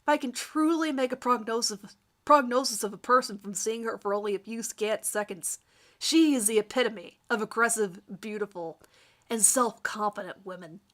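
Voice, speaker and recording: A woman doing an amateur dramatic reading of a first-person story in an expressive, theatrical manner. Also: fairly high pitch, normal speed. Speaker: amateur reader of her own fan fiction.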